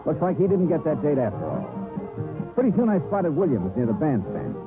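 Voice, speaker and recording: A narrow, tinny vintage radio recording with music behind. a wry American male investigator in a detective serial, hard-boiled and clipped, with a very animated, mid-pitched delivery. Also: a little fast. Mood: tense narrating. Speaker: lead actor and first-person narrator. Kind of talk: old-time detective radio drama acting.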